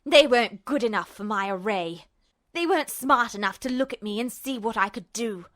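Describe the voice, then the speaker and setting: Woman playing a cold, snarling male villain, pitched lower. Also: expressive, normal speed, a bit bright. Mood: bitter resentment. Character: smug, bitter male villain, contemptuous failed alchemist.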